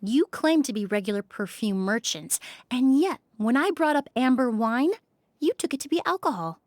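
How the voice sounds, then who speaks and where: An actress voicing a composed female perfumer in a game, speaking in a calm, measured, precise way. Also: a little high, no room sound, lightly acted. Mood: deducing. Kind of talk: game voice acting. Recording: dry studio recording.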